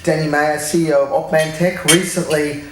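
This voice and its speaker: A man's voice: fairly high pitch, level tone, normal speed, a bit bright, slight background noise. A man introducing a guest speaker to an audience, hesitant and full of fillers. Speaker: event host introducing the speaker. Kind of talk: spoken introduction. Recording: echoey room, faint dish clatter.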